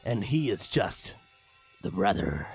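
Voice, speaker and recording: A man narrating a comic horror story in a theatrical, mock-ominous manner with drawn-out phrases and a raspy voice. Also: on the low side, very animated, darkish tone, soft background music. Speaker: creepypasta reader.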